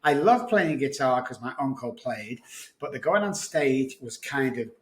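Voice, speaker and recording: A man's voice: medium pitch, animated, unhurried. A middle-aged man with a Manchester English accent, answering interview questions conversationally as a podcast guest. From Manchester, England. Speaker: podcast guest, addiction recovery specialist. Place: home office and recording studio. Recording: roomy, echoey office studio.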